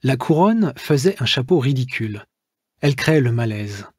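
Man reading his memoir, husky and dry. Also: medium pitch, animated, no room sound. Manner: measured, literary first-person reading. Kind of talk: audiobook narration.

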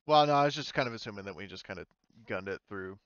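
Male game master, informal and halting, smooth low voice, close dry slightly dull recording. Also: animated, a bit bright, no echo. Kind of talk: improvised narration of a tabletop roleplaying game.

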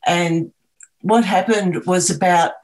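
A woman's voice: fairly low pitch, fairly even intonation, slow pace, a little mellow, poor audio. Hesitant Australian woman on a video call. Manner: hesitant, many ums and restarts. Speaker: video artist. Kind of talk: artist talk on a video call, sharing screen.

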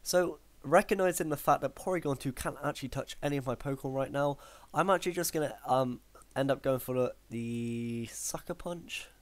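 Croaky man narrating his battle. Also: mid-range voice, brisk, no room sound. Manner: thinks aloud, hesitant fillers and self-corrections. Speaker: gaming commentator. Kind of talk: live battle commentary over gameplay.

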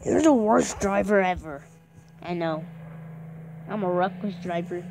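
A woman's voice: lowish voice, unhurried. A woman doing playful gameplay commentary with roleplay banter, on a noisy home recording. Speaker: gamer playing a roleplay.

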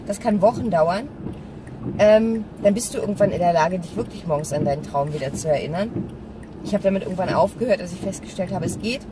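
A woman's voice: lowish voice, brisk. A woman chats casually and frankly to camera while driving, rambling and thinking aloud. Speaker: vlogger. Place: inside a moving car. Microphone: camera on the dashboard. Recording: loud car road noise, distant mic. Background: engine and road noise.

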